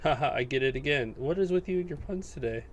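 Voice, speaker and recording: A man co-hosting a gaming podcast, chatting casually in an animated, sweary way. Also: medium pitch, fast, slight background noise. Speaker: podcast co-host.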